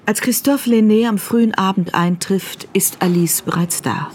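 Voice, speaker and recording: A woman reading scripted drama narration in a measured, unhurried manner. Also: medium pitch, a little mellow, a little hiss or hum. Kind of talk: scripted present-tense narration.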